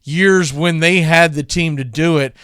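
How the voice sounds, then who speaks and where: A man with a clean, smooth, slightly higher-set voice talks baseball in a conversational way, as a radio host introducing classic calls. The sound is close and dry. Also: a little slow, fairly bright tone, dry recording. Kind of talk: casual baseball commentary introducing archive calls.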